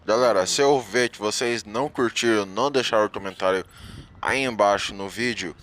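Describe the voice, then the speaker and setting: A man's voice: lowish voice, fast, a bit bright. A man with a Brazilian accent giving casual, slangy game commentary as a channel host. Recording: faintly noisy home recording.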